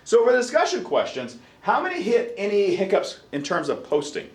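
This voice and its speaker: A man teaching a class as a professor, his voice raspy right now, talking himself through computer steps. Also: sits high, huge pitch swings, brisk, echoey room, far from the mic. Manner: talks to himself while fumbling with the computer. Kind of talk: classroom lecture.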